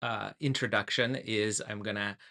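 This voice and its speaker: An enthusiastic man opens a live online event over a video call. His voice has a bright edge, and he halts often with fillers. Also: on the low side, steady tone, gravelly. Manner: enthusiastic, halting with many fillers. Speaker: organization representative introducing the event. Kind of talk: casual live event introduction.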